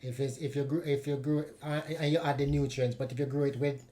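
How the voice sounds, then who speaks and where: A man's voice: medium pitch, flat delivery, fast talker, warm tone, slight background noise. A middle-aged man with a Jamaican accent, chatting casually as a guest. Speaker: guest, hydroponic farmer. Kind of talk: casual chat.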